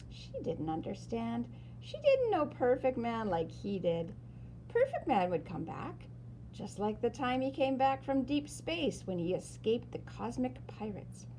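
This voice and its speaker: A woman reads a picture-book story aloud with swooping, lively intonation. Her voice is mellow and on the high side. She leans on key words and pauses for drama. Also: a little hiss or hum, poor audio. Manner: animated, dramatic emphasis and pauses. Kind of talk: expressive children's story read-aloud.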